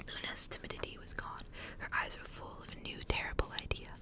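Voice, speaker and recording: Casting: female ASMR reader, fully whispered and breathy, hushed intimate delivery, close to the mic. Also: fairly quick. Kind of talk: whispered ASMR story reading and chat.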